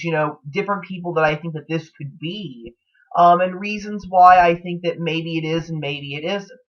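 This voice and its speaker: A man giving casual, unscripted fan commentary, thinking aloud in a hesitant, halting way. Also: a little high, a bit bright. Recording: dull lo-fi sound.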